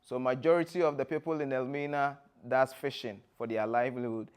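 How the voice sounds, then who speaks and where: A man from Ghana with a Ghanaian accent, giving a presentation in a deliberate, explanatory way, phrase by phrase. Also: medium pitch, fairly even intonation, smooth. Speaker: guest speaker, former tour guide. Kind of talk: presentation to an audience with slides.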